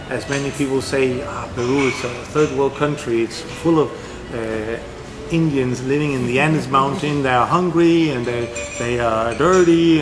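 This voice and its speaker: Middle-aged Danish-born man, mid-pitched, rambling guest with lots of fillers. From Denmark. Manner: rambling, with many fillers and restarts. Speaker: interview guest. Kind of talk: casual interview answers.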